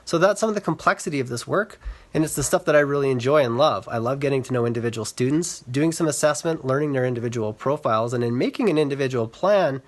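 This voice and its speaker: A man with a mid-pitched voice gives an explanatory talk as a reading specialist. He speaks earnestly in measured phrases, addressing online viewers directly. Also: expressive. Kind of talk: explanatory talk to camera for social media.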